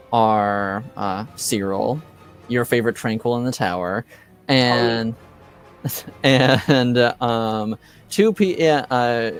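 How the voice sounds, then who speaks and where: A man narrating a tabletop game as game master, pausing often as he works out the scene. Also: mid-range voice. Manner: hesitant, pausing delivery.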